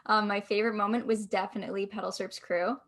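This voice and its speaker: Medium-pitched woman in casual chat. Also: little pitch movement, fast talker, a bit bright. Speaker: audio drama cast member. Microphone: microphone on a video call.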